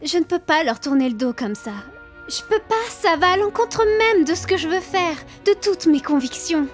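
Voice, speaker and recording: A woman's voice: very high-pitched, animated, moderate pace, faint music behind. A woman playing a kind, idealistic young princess of hell in a cartoon fan dub, earnest and hesitant. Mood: earnest, pleading.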